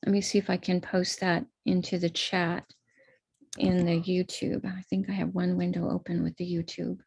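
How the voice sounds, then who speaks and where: An American woman with a gravelly edge of vocal fry talks patiently through a chart, one box at a time. Her voice is recorded dry and close on a computer mic for a live stream, with no room sound around it. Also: fairly low pitch, level tone, moderate pace, darkish tone, dry recording. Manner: patient, explanatory, walks through a chart step by step. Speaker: astrologer leading a ritual. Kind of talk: live-streamed ritual instruction.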